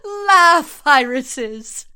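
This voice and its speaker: Woman performing a lovelorn storybook heroine, high voice, wildly swooping, theatrical, coy and haughty. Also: lively intonation, extremely slow, pushed and bright, heavily acted. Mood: elated. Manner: theatrical, swinging between coy and fierce.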